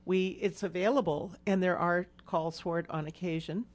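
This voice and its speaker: A woman with a somewhat low voice speaks as a design professional in conversation. Her phrasing is measured and articulate, explaining things in full sentences. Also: fairly even intonation. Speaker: interior and exterior designer, guest.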